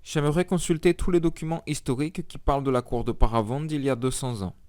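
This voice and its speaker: A man's voice: mid-range voice, fast talker, darkish tone, close to an everyday voice. A man voicing a polite vampire hunter hero who stammers under pressure. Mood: flustered.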